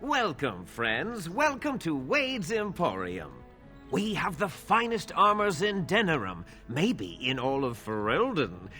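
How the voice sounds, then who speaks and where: An actor playing a prim, long-suffering shopkeeper with sardonic sales patter in a fantasy video game. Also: male voice, a little high, huge pitch swings, slow, pushed and bright, soft background music, heavily acted. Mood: salesmanlike. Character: prim, exasperated male shopkeeper of an armor emporium.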